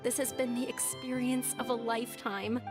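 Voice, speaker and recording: An actress voicing a wistful, aging stage actress in a theater troupe in a video game. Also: female voice, a little high, little pitch movement, normal speed, over loud music, natural voice. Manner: wistful, soft.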